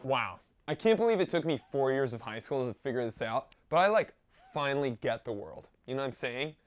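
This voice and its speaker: Brief: male comic as a high-school know-it-all boy, casual and smug, character comedy. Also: expressive, a little fast, close to an everyday voice. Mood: smug, pseudo-deep. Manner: smug, casual, sheepish apologies. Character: self-important male teenager who thinks he's figured out life.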